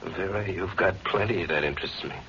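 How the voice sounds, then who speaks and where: A man's voice: sits low, brisk, pushed and bright, slight background noise. A man playing a wisecracking, jittery hearse driver in 1940s radio noir, with a punchy, slangy delivery, on a muffled old broadcast. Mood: flirting, wry. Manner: hard-boiled, slangy, punchy.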